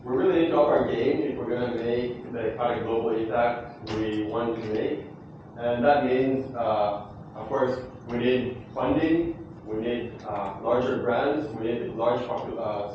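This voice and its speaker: A man's voice: mid-range voice, fairly even intonation, a little slow. A man giving closing remarks at a conference, speaking hesitantly and searching for words. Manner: hesitant, many fillers and restarts. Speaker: conference co-presenter. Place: large conference hall. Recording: echoey hall, distant, muffled, background noise.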